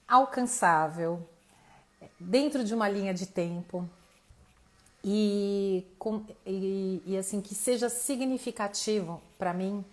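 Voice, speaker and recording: A Brazilian woman speaks as a mentor leading an informal live lesson. Her pitch sits in an average female range. She addresses listeners directly and thinks out loud as she explains, her voice a little worn from talking. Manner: conversational, addresses the audience directly, thinks aloud. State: voice tiring, losing it slightly. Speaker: mentor hosting an online live class. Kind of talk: informal motivational teaching to camera.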